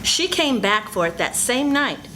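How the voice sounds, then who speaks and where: A woman's voice: a little high, sing-song, unhurried, bright, forceful tone, slight background noise, full character voice. An older woman playing a nervous, suspicious friend in an amateur old-time radio melodrama, speaking urgently and fearfully.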